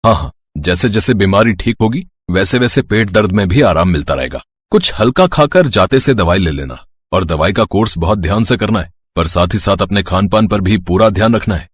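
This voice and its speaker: A calm doctor voiced by a man with a fairly low voice. He instructs in a measured way, as in a dramatised story, and the recording is dry. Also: husky, dry recording. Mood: calm advising. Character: calm male doctor giving diet advice. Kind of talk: dramatised Hindi moral story.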